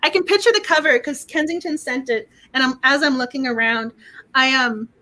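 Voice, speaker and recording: A woman hosting a book club livestream in casual chat, excitable and quick to laugh, her voice getting worn. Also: high voice, low-quality recording. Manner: excitable, laughs often, repeats words.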